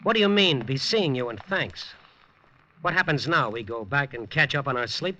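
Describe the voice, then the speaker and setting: A man's voice: medium pitch, lively intonation, normal speed, pushed and bright, slight background noise. An actor playing a dogged, hardboiled newspaper reporter in a 1950s radio drama, with a terse, wry tough-guy delivery. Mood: determined. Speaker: lead actor. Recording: dull lo-fi 1950s radio broadcast.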